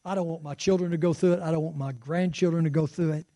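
A man's voice: on the high side, fairly even intonation, fast talker, dark tone, no echo. Fervent pastor in his sixties, dry sound. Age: 60s. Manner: fervent, conversational preaching with rising exclamations. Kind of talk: sermon. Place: church sanctuary.

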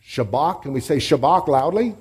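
A man's voice: fairly high pitch, lively intonation, normal speed, husky. Man preaching, leading call-and-response. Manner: call-and-response, leads congregation in shouts. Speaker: pastor. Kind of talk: sermon teaching.

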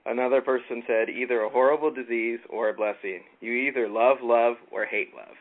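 A man from California leading a casual Bible study, relaxed and halting with frequent ums. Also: mid-range voice. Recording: tinny phone-like line with faint noise.

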